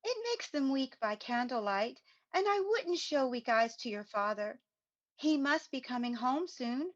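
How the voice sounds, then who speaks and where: An actress playing a gentle, sorrowful mother in a radio play, in a slightly dull recording. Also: high voice, lively intonation, unhurried. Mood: subdued grief. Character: sorrowful, gentle working-class mother hiding tears.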